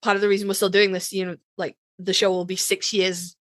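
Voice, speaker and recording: A woman's voice: mid-range voice, flat delivery, fairly quick, no room sound. A woman co-hosting a podcast, giving blunt, sweary opinions in casual fan chat. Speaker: podcast co-host.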